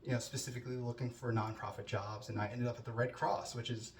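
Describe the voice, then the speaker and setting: Thirties man, relaxed chatty self-intro, faint room hiss. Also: medium pitch, fast talker. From New Jersey, US. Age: 30s. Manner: conversational with frequent fillers and restarts. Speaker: student introducing himself. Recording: roomy, distant mic with faint ambience.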